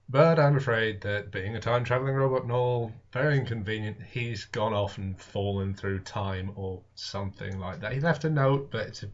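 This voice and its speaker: A man's voice: fairly low pitch, fairly bright tone. A man hosting a reading in a hesitant, apologetic way with dry, self-deprecating humour. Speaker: host and audiobook reader. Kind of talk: casual rambling intro, then narrated reading of a classic novel. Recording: dull lo-fi camera audio.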